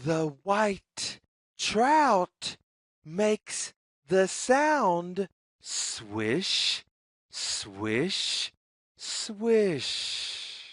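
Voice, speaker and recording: A woman reading a picture book aloud for young children, with deliberate, singsong phrasing and questions put to the listener. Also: medium pitch, sing-song, no room sound, clean studio sound. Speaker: children's read-along storyteller.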